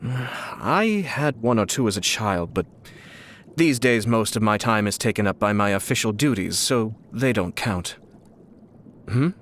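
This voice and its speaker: A man voicing a suave, scheming young nobleman and clan head from a video game, with polished, formal, measured speech and a slyly amused, teasing politeness. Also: fairly low pitch, natural voice. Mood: dry, composed. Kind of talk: video game character voice lines. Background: music.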